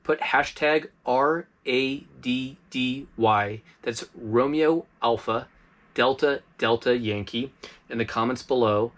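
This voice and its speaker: A man's voice: mid-range voice, slow. Chatty man reviewing gear. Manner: relaxed and chatty, frequent fillers. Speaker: host of a preparedness review channel. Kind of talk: casual product review. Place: inside an RV.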